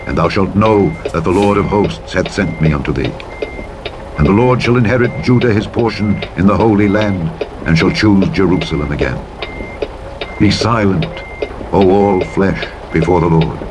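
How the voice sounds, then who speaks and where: A man reads the King James Bible aloud as a narrator, in a solemn, deliberate, declamatory style with measured phrasing. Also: lowish voice, noisy background. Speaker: scripture narrator. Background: truck driving sounds.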